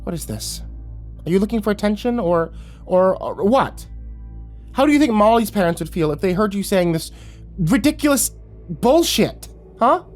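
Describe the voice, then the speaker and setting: A furious mother, sharp and scornful, snapping at her child, as a male narrator puts her on. She is pitched well above the reader's own voice and gets loud, over faint music. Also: animated, normal speed, natural voice. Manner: sharp, scolding.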